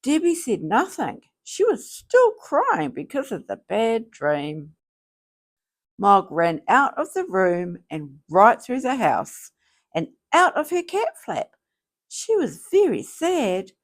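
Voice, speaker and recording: A woman reading a children's storybook aloud in a theatrical, deliberate way. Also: a little high, huge pitch swings. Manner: slow, theatrical, deliberate phrasing. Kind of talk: expressive scripted storybook read-aloud.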